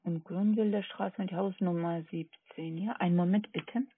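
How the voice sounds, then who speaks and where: A woman's voice: fairly low pitch, fairly even intonation, normal speed, breathy edge, dry recording. A woman with a foreign accent and non-native German, working as a call centre agent, hesitantly checking and repeating order details over a phone line. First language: not German. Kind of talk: phone sales conversation. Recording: tinny narrowband phone line.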